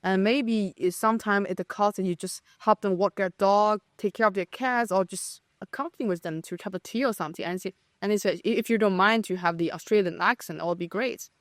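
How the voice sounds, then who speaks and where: A woman's voice: medium pitch, a little fast, few pauses, dry recording, clean studio sound. A young woman from China, a native Chinese speaker with a Chinese accent, talking as a podcast guest with hesitant, restarting speech. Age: young adult. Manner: hesitant, many restarts and fillers. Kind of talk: casual conversation.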